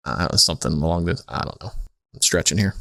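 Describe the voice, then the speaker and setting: A man co-hosting a podcast with casual, comedic chat, rambling and halting as he tells stories. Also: sits low, creaky, pushed and bright, dry recording, clean studio sound. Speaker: podcast co-host.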